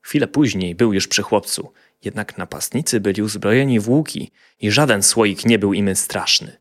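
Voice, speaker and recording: A man's voice: on the low side, moderate pace. A man narrating a scripted children's fairy tale as a storyteller.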